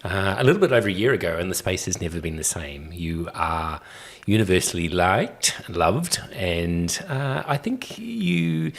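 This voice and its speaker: Deep, lively host. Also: male voice, moderate pace, vocal fry. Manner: relaxed and affable, with frequent fillers. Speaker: podcast host. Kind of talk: casual interview hosting.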